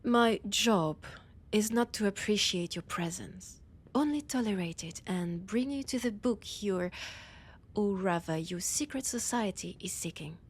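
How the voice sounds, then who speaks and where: Slow, haughty French-accented vampire played by a woman. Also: medium pitch, expressive. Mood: condescending, exasperated. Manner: condescending, sardonic, breathy whispered asides. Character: annoyed, haughty female vampire scholar, ancient and hungry. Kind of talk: scripted roleplay audio drama.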